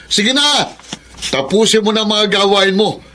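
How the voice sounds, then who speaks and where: A man's voice: high voice, slow, pushed and bright, a little hiss or hum, heavily acted. A Filipino man playing a cruel, greedy, mocking stepfather in a radio drama, with a sneering, scolding delivery.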